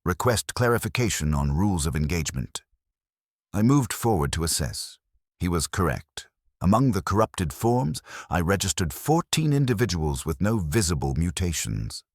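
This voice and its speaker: Man, scripted story narration, solemn, clipped phrasing, husky tone, very dry close sound. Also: low voice, sing-song, moderate pace, dry recording. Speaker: narrator of science fiction lore stories. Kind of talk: scripted dramatic first-person story narration.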